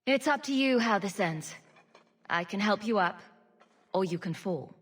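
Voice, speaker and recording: An actress playing a calm, diplomatic heroine in a video game, with a measured, composed delivery. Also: female voice, medium pitch, husky. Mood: firm, calm. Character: calm, diplomatic heroine, adult female champion. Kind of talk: video game dramatic acting.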